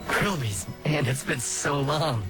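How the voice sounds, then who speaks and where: A man voicing a weary, easygoing skeleton brother with a gravelly voice and low-key manner in a comic dub. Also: takes it slowly, fairly bright tone. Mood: coaxing. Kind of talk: fan comic dub acting. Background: faint music.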